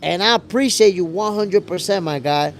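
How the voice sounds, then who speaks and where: An American man with a gravelly voice hosting a casual livestream, talking to viewers in an animated, slangy way. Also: high-pitched, moderate pace, a little hiss or hum. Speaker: host of a boxing show livestream, reading viewer comments. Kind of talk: casual livestream chat with audience.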